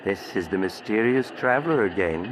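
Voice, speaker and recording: A man's voice: on the low side, expressive, normal speed, heavy background noise, close to an everyday voice. An actor playing a sinister male storyteller who hosts a 1940s radio horror show, sly and darkly amused. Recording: old radio recording with music behind.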